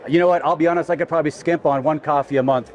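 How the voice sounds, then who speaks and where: A man's voice: on the high side, steady tone, rapid-fire. A man in his thirties hosting a casual product interview, enthusiastic and agreeable as he talks. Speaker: video host interviewing exhibitors. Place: busy indoor expo hall booths.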